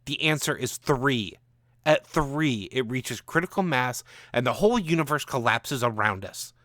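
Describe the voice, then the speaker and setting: A man's voice: medium pitch, lively intonation, unhurried, fairly bright tone, no echo, studio quality. A man voicing a bitter, angry gunman from the future with a mocking, ranting manner in a story. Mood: furious.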